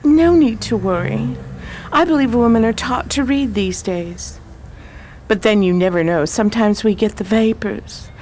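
An actress playing a shrewd, self-assured woman sleuth in a radio drama, with a brisk, knowing, wry delivery. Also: on the high side, a little mellow, slight background noise, natural voice. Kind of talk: old-time radio drama acting.

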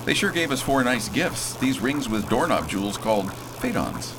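Man acting a breezy, animated space hero. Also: moderate pace. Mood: easygoing. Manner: breezy old-fashioned slang, commanding. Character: folksy, bold space hero piloting a starship. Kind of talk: space opera radio drama acting. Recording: clearly noisy, engine rumble behind.

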